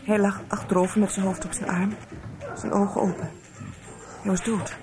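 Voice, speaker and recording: A woman's voice: medium pitch, flat delivery, normal speed, crowd noise, natural voice. An actress playing a troubled, insistent woman witness in a Dutch radio play, earnest yet hesitant and evasive. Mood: guarded, earnest.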